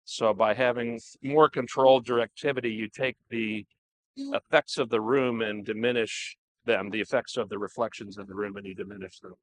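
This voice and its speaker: Low voiced guy walking through gear at a show, measured and kinda halting, explaining stuff. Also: steady tone, a bit bright, rough recording. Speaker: company representative presenting products. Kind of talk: unscripted product presentation at an audio show.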